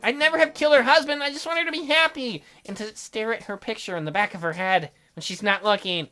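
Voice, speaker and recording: A woman's voice: fairly high pitch, quick pace, pushed and bright, faint music behind. A woman voicing an earnest, defensive, obsessively devoted man in a visual novel. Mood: pleading, devoted.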